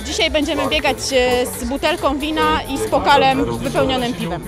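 A woman's voice: sits high, fairly even intonation, moderate pace. A young woman leading a restaurant team, interviewed on a handheld mic on a crowded town square, chatty with fillers. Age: young adult. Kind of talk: street interview. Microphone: handheld reporter mic. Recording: outdoor street recording, loud music and crowd.

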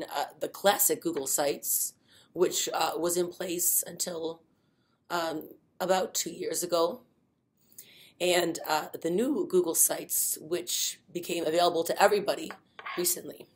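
Lower-voiced woman presenting a webinar. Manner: many fillers, unhurried explaining. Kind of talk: informal instructional webinar.

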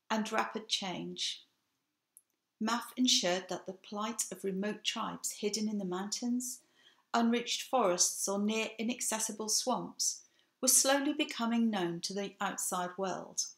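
Older English woman, sixties, reading a home sermon to webcam; earnest, gravelly, roomy sound. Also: mid-range voice. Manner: measured, earnest delivery. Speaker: preacher. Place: home room with bookshelf, indoors. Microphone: webcam, seated facing camera. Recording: echoey room.

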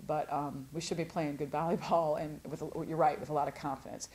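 A woman in her forties, a head volleyball coach, answering postmatch questions in an earnest, halting way with many restarts. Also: deep voice, little pitch movement, darkish tone, a little hiss or hum. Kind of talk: postmatch interview. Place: studio before a sponsor backdrop.

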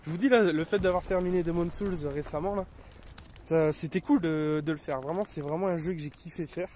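A man's voice: fairly high pitch, steady tone, fairly quick, darkish tone, slight background noise. A man in his thirties with a cold, chatting casually and rambling over his gameplay at home. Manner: rambling, many fillers and restarts. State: has a cold. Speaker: gaming YouTuber. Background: game gunfire and effects.

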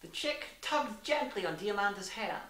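A woman's voice: mid-range voice, lively intonation, normal speed, fairly bright tone. Arch woman storyteller in an echoey room. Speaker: story reader. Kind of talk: theatrical, mock-genteel storytelling. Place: small room indoors. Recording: echoey room, distant mic.

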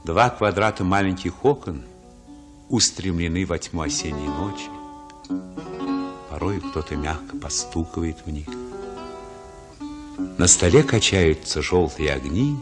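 A reflective man narrates his childhood memories in a radio play. The voice is fairly low and moves expressively, with some music around it. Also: expressive, a little slow, pauses often.

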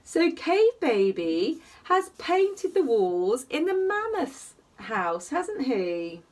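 A woman reads a picture book to young children in an animated, singsong, questioning way. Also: high-pitched, takes it slowly, distant mic. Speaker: children's bedtime story reader. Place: small attic room at home, indoors. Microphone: camera a short distance away.

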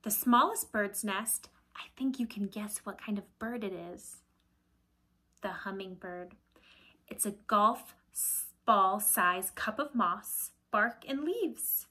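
A woman in her thirties reading aloud to children like a teacher, deliberate and expressive, with a breathy voice that often drops to a hush. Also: medium pitch. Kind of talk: children's picture-book read-aloud. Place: small indoor room at home with shelves.

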